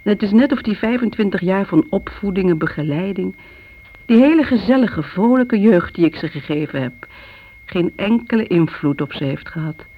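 A woman's voice: medium pitch, expressive, moderate pace, close to an everyday voice. An actress plays a middle-aged mother thinking aloud in a radio drama. She is chatty and a little plaintive, justifying herself as she reminisces. The old recording is dull, with a light hiss. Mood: wistful, aggrieved. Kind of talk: radio play monologue, conversational reminiscing.

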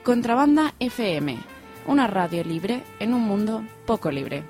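A woman's voice: mid-range voice, expressive, normal speed, a little mellow, faint music behind. A woman delivering a scripted slogan as the voice of a radio station ident.

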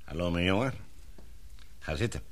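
An actor playing a grave, fatherly older gentleman in a radio drama, formal and measured. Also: sits low, animated, a little hiss or hum. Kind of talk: radio drama acting in Dutch.

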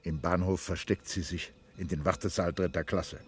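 Deep-voiced male radio play narrator. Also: steady tone, normal speed, slight background noise.

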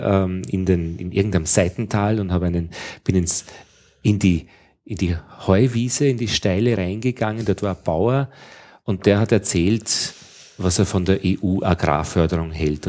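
An Austrian man with a lowish voice tells anecdotes in a loose, rambling way. He restarts his sentences often and keeps the tone of a relaxed chat. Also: moderate pace. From Upper Austria. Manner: rambling storyteller, many restarts. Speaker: radio interviewer. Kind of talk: casual anecdotal conversation.

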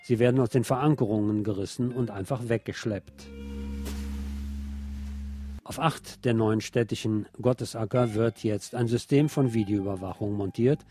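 A man's voice: lowish voice, normal speed, warm tone, faint music behind. A man presenting scripted radio news with wry asides.

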